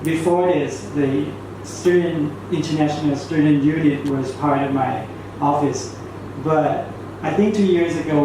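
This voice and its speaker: Mid-range male voice, staff member, informal speech, heavy echo, clear noise. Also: mid-range voice. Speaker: international affairs office staff member. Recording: echoey room, distant mic, noisy.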